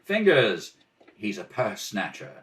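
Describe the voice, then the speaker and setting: Male reader as wry, easygoing detective husband, radio drama, animated, slightly off-mic. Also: fairly low pitch, exaggerated voice. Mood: wry, teasing. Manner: dry, teasing, unhurried. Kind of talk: old-time radio play acting. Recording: home kitchen, distant mic.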